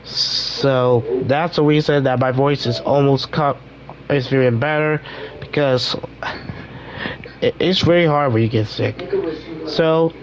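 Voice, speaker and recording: A young man hosting a casual gaming vlog, rambling with many restarts while his voice recovers from being hoarse. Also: mid-range voice, takes it slowly, pauses often, a crowd in the background, low-quality recording. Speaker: gaming channel host.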